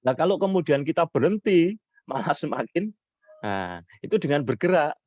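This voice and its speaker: A hoarse man, lecturing on public speaking and answering questions, lifting his voice high when he stresses points. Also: big pitch swings, normal speed, darkish tone, dry recording. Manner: rising pitch when stressing points, mixes Javanese words. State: hoarse from illness. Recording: dull lo-fi sound.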